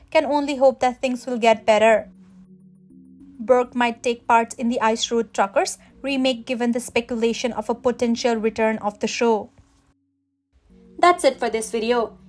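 A woman narrating a scripted entertainment news voice-over in an even, read-aloud manner. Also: fairly high pitch, normal speed, soft background music. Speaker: channel narrator.

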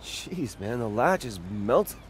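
A man's voice: medium pitch, sing-song, takes it slowly, slight background noise. An actor playing an impulsive young man with new powers in a video game cutscene. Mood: wry, casual. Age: young adult. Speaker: game protagonist. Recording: loud game music and effects behind.

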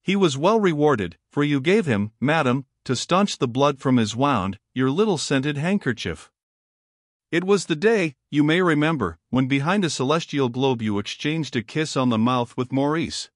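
A man reading the part of a haughty, learned male fallen angel in an audiobook, calm and impassive, in a dry, close sound. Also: mid-range voice, moderate pace, no echo, natural voice.

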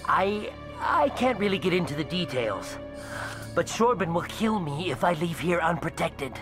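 A man plays an anxious alien complainant in a sci-fi game, hesitant yet insistent. The pitch moves up and down animatedly. Soft music plays underneath. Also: lively intonation, moderate pace, natural voice. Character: nervous, paranoid alien complainant pleading for help. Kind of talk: video game character dialogue.

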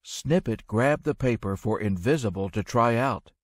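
A man narrating a rhyming children's story in an expressive, measured storytelling manner. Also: medium pitch, no echo, clean studio sound.

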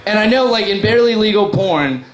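A young male comic with a somewhat high voice fires off crude, blunt punchlines. The sound is echoey, like a small bar room. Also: expressive, fairly quick. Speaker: stand-up comic. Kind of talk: open mic stand-up set. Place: small bar open mic stage.

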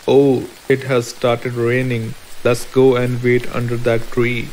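A man playing a greedy young woodcutter in a cartoon moral story, with a plain, deliberate delivery. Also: mid-range voice, completely flat delivery, a little mellow, slight background noise, natural voice, rough recording. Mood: eager. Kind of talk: animated moral story dialogue.

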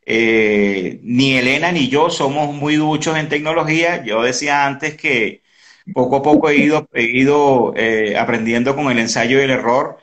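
A man in his early fifties hosting a casual interview on a video call, speaking haltingly and often repeating words. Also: medium pitch, fairly bright tone. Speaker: interview host. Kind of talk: casual conversational online interview. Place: indoor room at home. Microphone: video call, device close in front.

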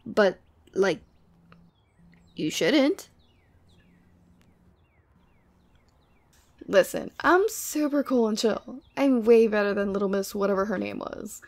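Demon girlfriend played by a woman, ASMR audio drama: sweet but teasing, casual and playfully exasperated, very creaky delivery. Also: mid-range voice, unhurried, darkish tone, lightly acted. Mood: defensive, sulky.

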